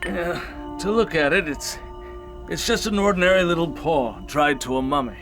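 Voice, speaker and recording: A man's voice: on the high side, animated, normal speed, a bit bright, soft background music. An actor playing a burly, reticent old soldier, hesitant and plain-spoken as he tells tales in a radio drama. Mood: guarded, ominous.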